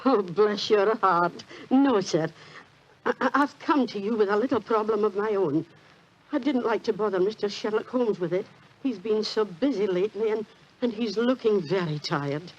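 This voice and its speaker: An actress playing a humble, kindly old British landlady, husky, deferential and hesitant, in 1940s radio drama style. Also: mid-range voice, moderate pace, lightly acted. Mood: apologetic. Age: older. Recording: dull 1940s radio recording.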